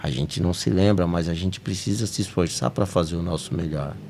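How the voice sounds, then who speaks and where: A man's voice: low voice, fairly quick, creaky, darkish tone, slight background noise. A Brazilian man with a Brazilian Portuguese accent hosting a spiritist study podcast, talking earnestly and rising to emphatic peaks. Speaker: podcast host.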